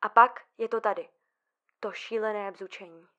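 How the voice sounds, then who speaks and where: An actress playing an anxious, fragile female psychiatric patient in an audio drama, with nervous, halting delivery. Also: medium pitch, steady tone, fairly bright tone, muffled recording, lightly acted. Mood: rising panic.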